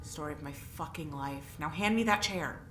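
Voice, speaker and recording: An actress playing a sarcastic, foul-mouthed escaped prisoner in an audio drama, defiant and biting. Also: female voice, sits low, moderate pace, bright, forceful tone, roomy sound, faint music behind. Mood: defiant, bitter.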